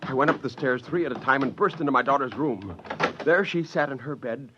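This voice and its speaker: A man's voice: medium pitch, a little fast, noisy background, lightly acted. An actor playing an exasperated father and scheming inventor in 1950s radio drama, blustering in dialogue and narrating his own story. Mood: rueful narration. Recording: dull vintage 1950s radio recording.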